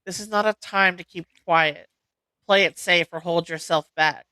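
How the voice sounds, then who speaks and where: A woman reading oracle card meanings aloud as host, in a measured, deliberate way with an occasional stumble. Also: on the low side, sharp tone, clear, resonant tone, dry recording.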